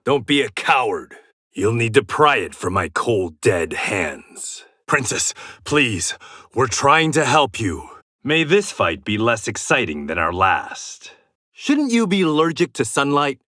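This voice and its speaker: A stern, proud blind swordsman from a fighting game. His mid-pitched voice is sharp and forceful, swinging widely in pitch. He delivers terse, confident taunts with a wry edge, recorded completely dry. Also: sing-song, slow pace, no echo, heavily acted. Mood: wry, guarded. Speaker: video game fighter character. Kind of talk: dramatic fighting game voice lines.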